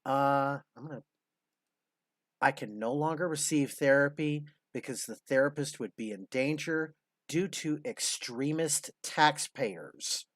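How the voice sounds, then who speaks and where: A man's voice: medium pitch, measured pace, fairly bright tone, no room sound, studio quality. A man in his fifties hosting a casual commentary, blunt, sarcastic and profane. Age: 50s. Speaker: host.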